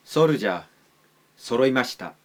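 A man playing a dutiful, deferential captain in an amateur radio drama, formal, earnest and measured. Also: mid-range voice, expressive, lightly acted. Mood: respectful. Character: dutiful, deferential male captain serving his leader.

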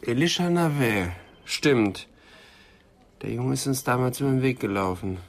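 Ein Schauspieler spielt in einem deutschen Krimihörspiel einen aufmerksamen, schnell kombinierenden Kommissar mit höflicher Art. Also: male voice, medium pitch, expressive, normal speed, close to an everyday voice. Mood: alert. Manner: alert, deductive, courteous. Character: attentive, quick-witted male police detective. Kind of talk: German radio crime drama acting.

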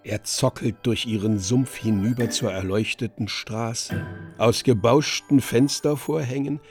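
A man narrating a radio drama as a measured storyteller, reading vivid literary stage directions deliberately. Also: mid-range voice, fairly even intonation, darkish tone, soft background music, lightly acted.

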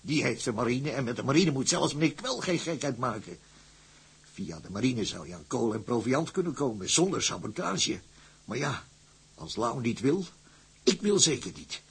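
Very husky, gravelly shipping boss. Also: male voice, mid-range voice, very animated, moderate pace, exaggerated voice. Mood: reluctant. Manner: cautious, warning, plain-spoken. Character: gruff, wary, hard-schooled tugboat company director. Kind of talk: Dutch audio drama acting.

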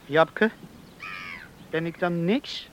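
An actor playing a hot-headed, indignant young drifter in love in a Dutch radio play. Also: male voice, a little high, drawn-out words, slight background noise. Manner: indignant, bantering, protesting.

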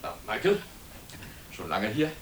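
An actor playing a courteous, titled senior scientist in a radio play, genteel and deliberate in manner. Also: male voice, lowish voice, wildly swooping pitch, background noise. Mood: keen, pleased.

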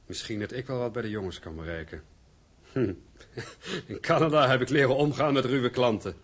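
A man's voice: mid-range voice, moderate pace, slightly rough, close to an everyday voice. A man playing a middle-aged mine owner's son, earnest, well-mannered and conciliatory, in a radio play. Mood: calm, concerned.

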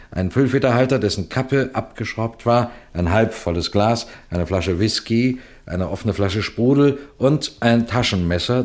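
An actor playing a shrewd, composed senior police detective in a radio crime play, speaking in a deliberate, courteous, instructive way. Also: male voice, deep voice, a little mellow. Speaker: detective and narrator addressing listeners. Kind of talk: scripted German radio crime drama.

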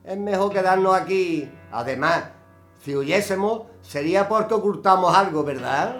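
A man's voice: sits high, moderate pace, fairly bright tone, soft background music. A man playing a stubborn, domineering husband in an audio drama, insistent and curt, with an accent from Spain. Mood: firm, soothing. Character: stubborn, domineering husband and father in wartime Málaga.

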